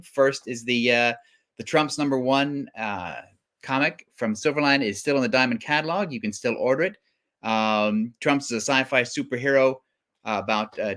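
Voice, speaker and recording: Male guest host for a casual comics livestream, mid-pitched, wry and self-mocking. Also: fairly bright tone. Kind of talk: casual live-show hosting.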